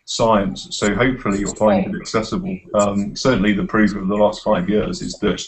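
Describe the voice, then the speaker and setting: A man's voice: fairly low pitch, level tone, moderate pace, low-quality recording. A middle-aged man leading an informal tutorial on a video call as course tutor, hesitant and encouraging. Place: small office, indoors.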